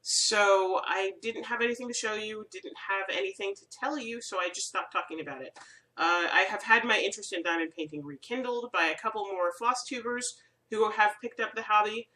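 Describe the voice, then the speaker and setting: A woman's voice: mid-range voice, a little fast, pushed and bright, far from the mic, poor audio. A woman with a slight head cold, hosting a casual crafting vlog from her room at home, rambling in a friendly way with plenty of ums. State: stuffy from a cold. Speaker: crafting video host.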